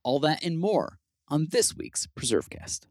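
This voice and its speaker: A man's voice: fairly high pitch, very animated, moderate pace, darkish tone, no room sound, clean studio sound. A man hosting a preservation interview podcast, chatting loosely with fillers and restarts. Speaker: podcast host. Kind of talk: casual interview chat.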